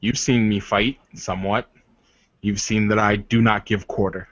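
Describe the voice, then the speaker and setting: A man's voice: steady tone, fairly bright tone. A man playing a proud, commanding werewolf warrior leader in a tabletop game, speaking earnestly and formally. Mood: solemn, resolute. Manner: solemn, deliberate, formal phrasing. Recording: dull, roomy low-fidelity voice recording.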